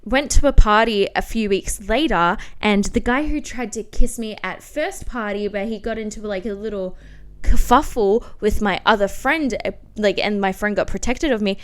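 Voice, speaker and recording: A young woman telling personal stories casually as a podcast host, in a slangy, self-mocking, animated way. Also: mid-range voice, moderate pace. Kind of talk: casual confessional storytelling.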